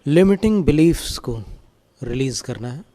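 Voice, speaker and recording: A man guiding a meditation as a spiritual teacher, giving calm, gentle, step-by-step instructions. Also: on the high side, unhurried. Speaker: Jain monk and meditation teacher.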